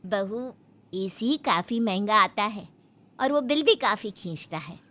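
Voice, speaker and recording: A woman playing a caring, practical middle-aged mother-in-law in a family story, gentle in manner. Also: medium pitch, expressive, slow pace. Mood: practical, patient. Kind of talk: dramatised family story acting.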